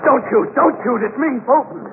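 Casting: high-strung, crazed salesman for radio farce; extremely high male voice, theatrical, hissy narrow-band recording. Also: normal speed. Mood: agitated. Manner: excitable, theatrical. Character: manic, high-strung male estate agent, unhinged confessed killer. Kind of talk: 1940s-50s American radio comedy acting.

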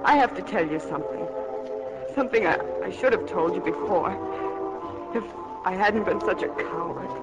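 A woman's voice: on the low side, expressive, normal speed, long pauses, loud music behind the voice, lightly acted. An actress playing an earnest, lovesick young woman in a 1940s radio melodrama. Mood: anguished. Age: young adult. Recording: dull old radio broadcast.

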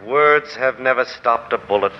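A man playing a calm, gentle benefactor with measured, formal diction in a 1940s radio drama. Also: medium pitch, lightly acted. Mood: calm persuasion. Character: calm, gentle, philosophical male benefactor of the poor. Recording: dull, noisy 1940s radio recording.

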